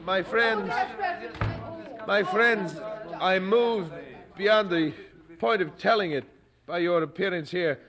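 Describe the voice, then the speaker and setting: Earnest young preacher, formal, high voice. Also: male voice, takes it slowly, long pauses, crowd noise. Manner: polite, earnest, formal English. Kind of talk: radio drama acting.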